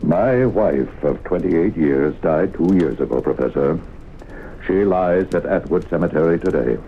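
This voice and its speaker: An actor playing a cautious, skeptical middle-aged small-town mayor, gravelly and hesitant, in old-time radio drama style. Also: male voice, deep voice, lively intonation. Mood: guarded, skeptical. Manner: hesitant, measured, formal. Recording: noisy, phone-like old radio broadcast.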